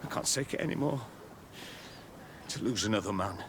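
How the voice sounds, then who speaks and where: An actor playing a weary, embittered military captain in a video game cutscene, with a bitter, self-deprecating manner. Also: male voice, fairly low pitch, normal speed, a bit bright, a little hiss or hum, natural voice. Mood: grim, weary.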